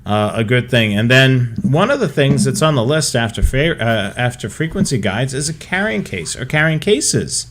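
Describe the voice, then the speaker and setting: A man's voice: medium pitch, expressive, moderate pace, hardly any pauses, a bit bright. A man co-hosting a hobby show, chatting casually and talking listeners through examples and advice.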